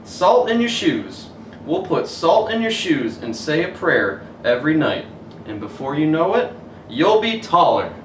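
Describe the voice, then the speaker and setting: A man voicing a kindly, wise storybook mother with a measured, gently firm and reassuring manner. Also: fairly high pitch, big pitch swings, voice pushed up. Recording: roomy echo, distant mic, faint noise.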